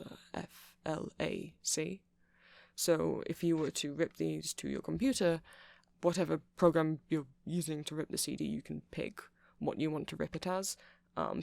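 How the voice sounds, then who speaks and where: A man playing a nerdy male vampire in an ASMR roleplay, rambling haltingly and passionately to a listener as he explains things. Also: a little high, gravelly, dry recording.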